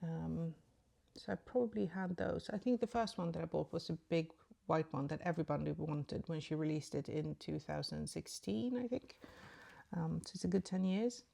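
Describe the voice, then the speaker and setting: Low, gravelly woman chatting makeup. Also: moderate pace, warm tone. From Sweden. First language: Swedish. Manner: rambling and chatty, many ums. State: sleepy in the early morning. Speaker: beauty vlogger. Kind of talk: casual makeup tutorial chat.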